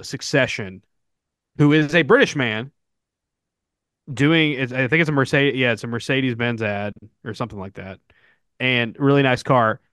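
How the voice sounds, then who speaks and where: A man with a Southern American accent talks casually as a podcast co-host. He rambles and restarts his sentences. His pitch sits in the middle, and the sound is dry and close. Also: fairly bright tone, smooth, no room sound. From Southern United States. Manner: rambling, frequent fillers and restarts. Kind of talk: casual sports podcast chat.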